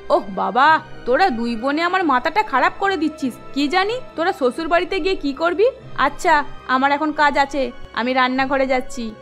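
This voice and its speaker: A woman's voice: high-pitched, normal speed, fairly bright tone, soft background music, pitched below the performer's own voice. A woman voicing an exasperated middle-aged mother of twin daughters in a cartoon, with a weary, nagging, motherly manner. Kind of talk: cartoon story character acting.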